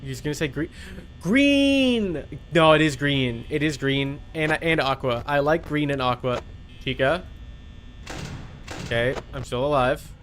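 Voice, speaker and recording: A man's voice: a little high, animated, unhurried, fairly bright tone, slight background noise. A man livestreaming a video game with casual commentary, muttering to himself, repeating phrases and chatting with viewers. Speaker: livestreamer playing a horror game.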